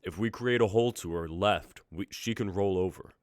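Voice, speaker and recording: A man in his twenties reading lines in a calm, instructive way. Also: deep voice, moderate pace, dry recording, clean studio sound. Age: 20s. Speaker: actor in a script reading. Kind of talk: dramatic script reading.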